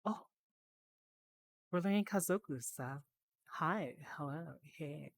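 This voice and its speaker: A woman with a low, deep voice talks slowly through a VR headset mic, tired and slightly hoarse from a long stream. She chats casually with her viewers, sounding out words carefully and laughing easily. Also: no echo. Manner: chatty, reads aloud slowly, laughs easily. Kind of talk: casual live-stream chat while learning Japanese.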